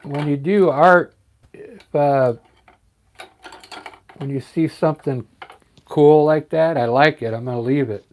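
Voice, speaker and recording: A man talks quietly while he paints, thinking aloud in a relaxed, unhurried way. His voice is a little scratchy, and he speaks into a clip-on mic in his art studio. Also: a little high. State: scratchy voice from singing and allergies. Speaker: artist livestreaming painting. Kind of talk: casual live painting commentary. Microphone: clip-on mic after plugging it in.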